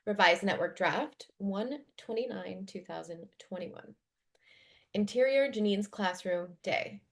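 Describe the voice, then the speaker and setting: A woman's voice: medium pitch, unhurried, gravelly. A woman on a video call, serving as narrator for a script read-through. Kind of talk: reading screenplay directions aloud. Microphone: video call microphone.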